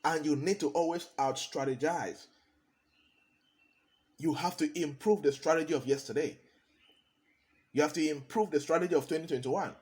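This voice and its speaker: A man's voice: fairly high pitch, moderate pace, pauses often, a little mellow. A man, a consultant giving a motivational talk, hammering points home by repeating key phrases. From Cameroon.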